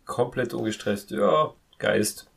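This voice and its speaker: A man co-hosting a podcast, casually reviewing an audio drama in a halting way, repeating words as he thinks. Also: fairly low pitch, creaky. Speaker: podcast co-host.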